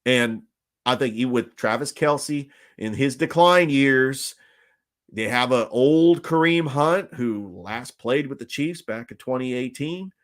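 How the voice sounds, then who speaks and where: A man's voice: mid-range voice, slow pace. A man hosting a solo sports talk podcast, opinionated and ranting, his voice strained and nearly gone.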